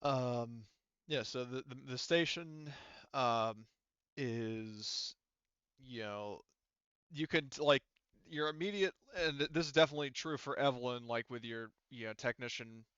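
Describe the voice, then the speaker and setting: A man working as game master, narrating a tabletop roleplaying game in an informal, halting way as he thinks aloud. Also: medium pitch, fairly bright tone, clear, resonant tone, dry recording, muffled recording.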